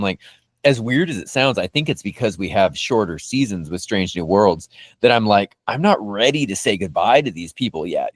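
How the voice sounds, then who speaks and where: Male podcast host, low register, dry close sound, casual and meandering, feeling his way through opinions. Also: no room sound. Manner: hesitant, meandering, hedging delivery. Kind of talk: casual fan discussion.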